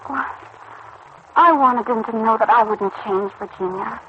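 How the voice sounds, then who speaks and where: A woman's voice: fairly high pitch, a little slow, natural voice. An actress playing a troubled, lovesick young girl in a vintage radio serial, speaking in an earnest, hesitant, pleading way. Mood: earnest, insistent. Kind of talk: 1940s-50s radio serial acting. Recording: dull, noisy old radio recording.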